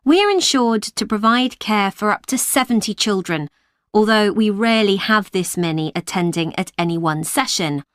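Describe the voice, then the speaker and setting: A woman speaking as a childcare manager, giving a polite, clear talk to parents. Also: medium pitch, normal speed, dry recording, clean studio sound. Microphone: studio microphone, dry.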